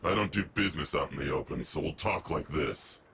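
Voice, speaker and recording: Very deep, wary shady agent. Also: male voice, animated, a little fast, heavy background noise. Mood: guarded, curt. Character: wary, gruff male shady debt-collecting agent. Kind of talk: video game voice acting.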